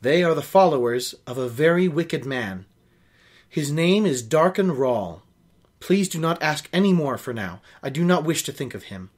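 A man voicing a guarded, serious young woman on the run in a novel, measured and earnest. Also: on the high side, expressive. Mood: grave.

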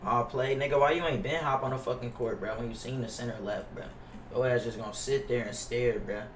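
A young American man talks to his stream chat in a slangy, griping, swear-heavy way. His medium-pitched voice comes through a roomy, slightly distant mic with faint noise behind it. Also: fairly even intonation, a little fast. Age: young adult. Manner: slangy, profane, complaining. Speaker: livestreamer playing a basketball video game. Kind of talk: casual livestream chat with viewers. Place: indoors at a gaming setup.

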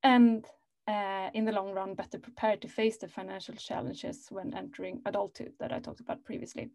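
A woman's voice: mid-range voice, steady tone, a little fast, gravelly, darkish tone, no room sound. A woman in her thirties answering a panel question over a laptop video call, earnest and full of "like" fillers. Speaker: panelist, company operations head.

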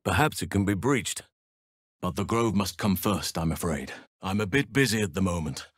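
Big gentle druid elf, low pitch, dry studio sound, solemn, formal, video game fantasy. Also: male voice, animated, husky, dry recording. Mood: calm, thoughtful. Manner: measured, earnest, formal phrasing.

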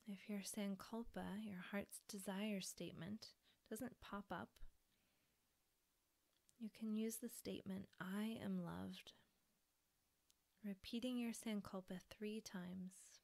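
A woman softly guiding a yoga relaxation meditation in a breathy, soothing manner with pauses. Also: mid-range voice, fairly even intonation. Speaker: yoga nidra teacher.

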